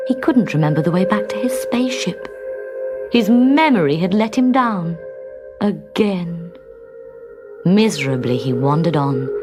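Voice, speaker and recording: Casting: female storybook narrator, low register, highly animated and dramatic, music beneath. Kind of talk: scripted children's story narration.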